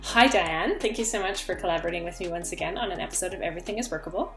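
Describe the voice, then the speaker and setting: Woman, podcast host, measured, soft-spoken, faint music behind. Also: fairly low pitch. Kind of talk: scripted intro then interview questions.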